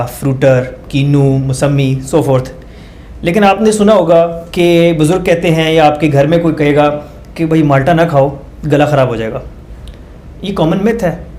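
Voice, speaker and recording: A man's voice: fairly high pitch, darkish tone, slight background noise. A male doctor explaining research methods as a guest, walking through numbered points with everyday examples and hesitant fillers. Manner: hesitant fillers, mixes English phrases.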